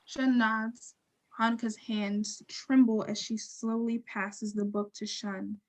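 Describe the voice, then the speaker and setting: A woman narrating stage directions from a script in a measured, neutral, descriptive way. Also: mid-range voice, level tone, rough recording.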